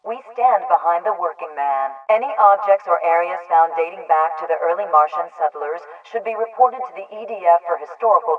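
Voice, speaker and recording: Authoritarian female PA announcer, video game. Formal, calm, stern, impersonal read; tinny radio-filtered loudspeaker, heard from afar. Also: fairly low pitch. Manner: formal, measured, official, impersonal. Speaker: public address announcer. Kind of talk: scripted propaganda broadcast in a video game. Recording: muffled, band-limited distant loudspeaker broadcast.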